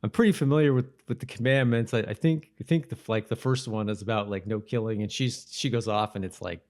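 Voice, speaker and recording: Male podcast co-host, low voice, loose and slangy conversational delivery. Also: fast, a little mellow. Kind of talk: casual chat reviewing a TV episode.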